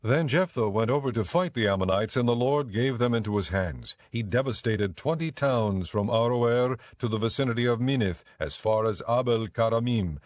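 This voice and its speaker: A man giving scripted, measured and solemn narration for a dramatized audio Bible. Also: mid-range voice, expressive, darkish tone, no echo. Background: background music.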